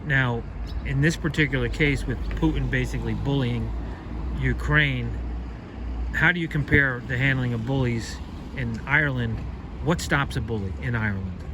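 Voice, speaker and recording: A man from the United States puts probing questions in a relaxed, conversational way. His voice sits at an ordinary pitch, and clear traffic noise carries behind him outdoors. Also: moderate pace. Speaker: street interviewer. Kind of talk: street interview questions. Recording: street noise.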